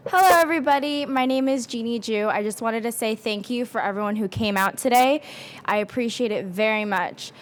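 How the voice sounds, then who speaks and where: A woman's voice: fairly high pitch, a little fast. A young woman, a student candidate, gives a chatty, upbeat campaign speech. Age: young adult.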